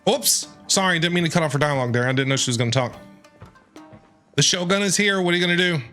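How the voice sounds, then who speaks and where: A man giving casual, playful live reactions while streaming a video game, excitable and quick to respond. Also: a little high. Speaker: gaming streamer. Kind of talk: casual live reaction commentary over gameplay. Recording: game music behind the voice.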